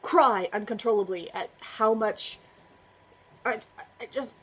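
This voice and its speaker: A woman's voice: a little high, takes it slowly, breathy edge. A woman talking casually to camera as a book vlogger, chatty and earnest, often trailing off and restarting. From United States. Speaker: booktuber. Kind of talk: casual book tag vlog.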